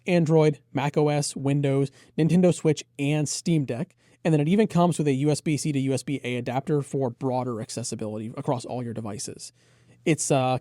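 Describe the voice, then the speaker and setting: A man with a mellow, relaxed voice presents a product review. He is conversational and enthusiastic, moving through features in detail. The sound is dry, with very little room to it. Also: mid-range voice, no room sound.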